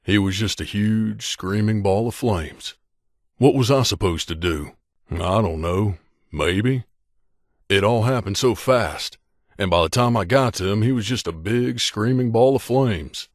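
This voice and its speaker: A troubled wasteland drifter from a video game, acted by a man, with a lowish voice recorded close and dry. He is an earnest, plain-spoken companion who carries old guilt and talks as if confiding in a friend. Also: a little slow, no echo. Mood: guilty, confessional. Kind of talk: video game voice acting, dramatic dialogue.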